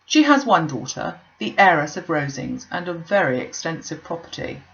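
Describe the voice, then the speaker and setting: A woman's voice: low-pitched, moderate pace, vocal fry, muffled recording, heavily acted. Woman doing a pompous, fawning clergyman. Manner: pompous, long-winded, flattering.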